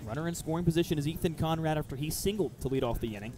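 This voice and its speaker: A man's voice: a little high, rapid-fire. A man calling live baseball play-by-play for radio, mixing clipped phrases with detailed description.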